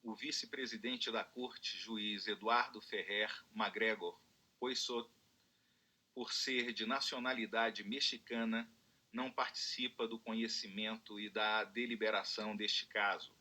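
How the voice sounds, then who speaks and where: Presiding judge, man, Brazilian Portuguese accent, measured formal delivery, bright tone. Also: on the low side, steady tone. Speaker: court president. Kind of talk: formal opening of a court hearing.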